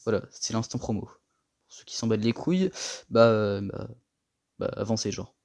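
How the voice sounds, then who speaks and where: A young man giving a casual tour of his gaming setup, rambling and slangy, a little hoarse from a cold. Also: on the low side, expressive, moderate pace, darkish tone. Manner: rambling, slangy and self-mocking. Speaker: gaming vlogger.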